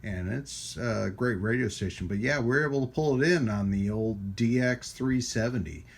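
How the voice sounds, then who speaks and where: A man giving a casual hands-on product demonstration as a channel host, rambling and pausing often as he goes. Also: mid-range voice. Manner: halting, rambling delivery with frequent pauses. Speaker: host of a radio hobby channel. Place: indoors at a hobby workbench.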